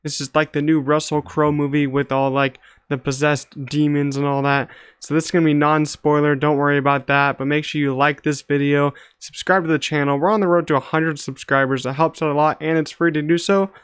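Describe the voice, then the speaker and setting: A man's voice: a little high, little pitch movement, a little fast, few pauses, clear, resonant tone, no room sound, studio quality. A young man giving a casual, off-the-cuff movie review in a loose, slangy, hedging way. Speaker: movie reviewer, channel host.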